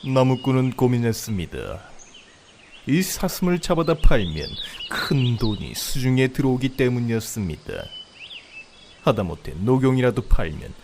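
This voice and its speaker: A man's voice: mid-range voice, sing-song, normal speed, darkish tone, slight background noise. A man narrating a comedic fairy tale from a script as a storyteller. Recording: outdoor nature ambience, birdsong behind.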